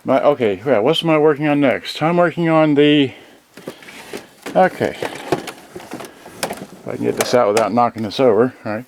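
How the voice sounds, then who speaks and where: A man's voice: mid-range voice, normal speed, gravelly, long pauses, a little mellow. A man giving a casual, unscripted unboxing as host, rambling and thinking aloud, often trailing off mid-thought. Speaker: host of an electronics unboxing channel. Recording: noisy home video with clicks.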